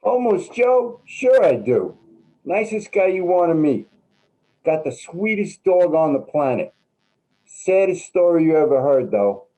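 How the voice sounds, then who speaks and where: Male actor as downtrodden street character, theatrical and resigned, very mellow timbre, higher pitch, for a stage drama. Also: very animated, unhurried, rough recording. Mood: wry, friendly. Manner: folksy, wry, theatrical. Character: downtrodden, colorful homeless man, resigned but friendly. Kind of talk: staged reading of a play.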